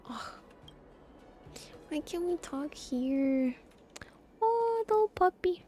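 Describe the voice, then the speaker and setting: A woman's voice: extremely high pitch, lively intonation, takes it slowly, warm tone, soft background music. A woman streaming a game, reacting in casual, playful chat with exclamations and swearing.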